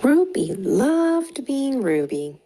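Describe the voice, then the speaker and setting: A woman reading a picture book aloud to young children in an expressive, deliberate way with dramatic pauses. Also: high voice. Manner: slow, deliberate, animated storytelling with dramatic pauses. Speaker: storytime reader for young children. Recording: clearly audible background noise.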